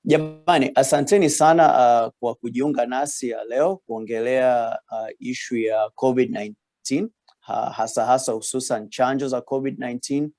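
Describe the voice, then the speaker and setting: A man's voice: mid-range voice, steady tone, moderate pace, a little mellow, no room sound. A man, a radiologist, speaking over a video call in a hesitant manner, full of fillers and switching between Swahili and English. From Tanzania.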